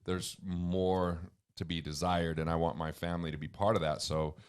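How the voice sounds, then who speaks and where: Guest: booming-voiced man with a very low pitch, earnest and emphatic. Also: flat delivery, normal speed, smooth. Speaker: guest, financial author and comedian. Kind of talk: conversational interview.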